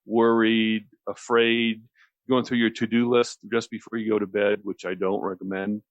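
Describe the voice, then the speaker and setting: Deep-voiced man, talk radio. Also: level tone, moderate pace, no room sound, dull audio. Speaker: radio show host explaining relaxation technique. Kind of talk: conversational talk radio explanation.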